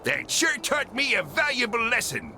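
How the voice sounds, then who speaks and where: A man playing a greedy, blustering old crab boss in a cartoon game, with gravelly seafaring talk and stammers. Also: very high voice, huge pitch swings, moderate pace, pushed and bright, faint music behind, exaggerated voice. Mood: blustering, hearty. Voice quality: gravelly, piratey.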